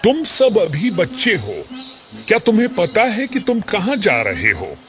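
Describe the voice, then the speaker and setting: A man voicing a huge older elephant in an animated story, with a ponderous, drawn-out delivery. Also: high-pitched, faint music behind, full character voice. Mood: stern, doubting. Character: huge elephant, older, doubtful but loyal companion.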